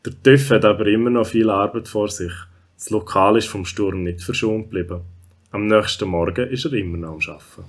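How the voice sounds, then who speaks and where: A man's voice: lowish voice, brisk, close to an everyday voice. A man with a Swiss German accent narrating a scripted children's story.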